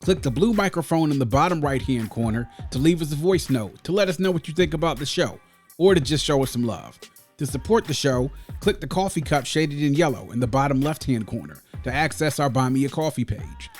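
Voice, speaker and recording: A man's voice: fairly high pitch, animated, brisk, a little mellow. A man hosting a music review podcast, giving opinions in an emphatic, conversational way and repeating phrases for emphasis. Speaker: podcast host.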